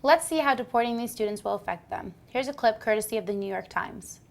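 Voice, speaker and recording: A woman's voice: on the high side, fast talker, creaky. A woman presenting a scripted news report, reading facts and figures from a script.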